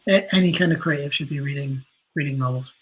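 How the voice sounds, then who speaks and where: A man's voice: fairly high pitch, fast, a bit bright, husky, low-quality recording. A man speaking as a podcast guest in a casual, reflective way, earnest and often rephrasing himself. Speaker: podcast guest, writer and director.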